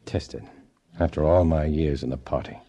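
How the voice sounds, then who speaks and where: A man's voice: rumbling low, steady tone, normal speed, dark tone, slight background noise. An actor playing a tough, clipped undercover agent in a 1950s radio drama, who also narrates his story. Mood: shaken. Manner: hard-boiled, clipped.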